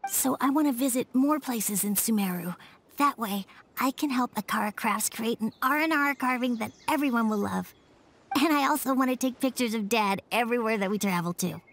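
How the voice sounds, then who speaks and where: A woman voicing an earnest, shy young boy in a video game, speaking softly and sincerely. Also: fairly high pitch, a little hiss or hum, close to an everyday voice.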